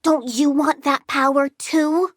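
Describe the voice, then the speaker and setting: Game villain: childlike plant girl, very high and girlish, petulant and vicious, dramatic delivery, dry close studio sound. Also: steady tone, takes it slowly, no echo. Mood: chatty, curious. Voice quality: small girlish voice. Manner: petulant, dramatic, bluntly odd. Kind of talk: video game character acting.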